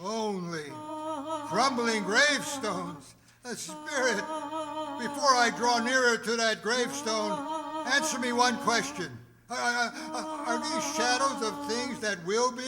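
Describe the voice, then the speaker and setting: A man acting a frightened, remorseful old miser in a radio drama, stammering and pleading in a drawn-out, tremulous way. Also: very high voice, animated. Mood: fearful. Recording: echoey hall, music underneath.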